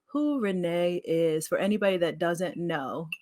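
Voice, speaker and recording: A woman co-hosting a chat show, enthusiastic and quick to cheer and react. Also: lowish voice, a little mellow. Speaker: podcast co-host. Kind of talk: casual chat.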